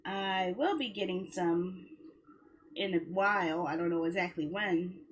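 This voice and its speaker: A woman's voice: on the low side, a little slow, slight background noise. A woman game streamer talking casually to her viewers in a rambling, conversational way. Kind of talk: casual livestream chat.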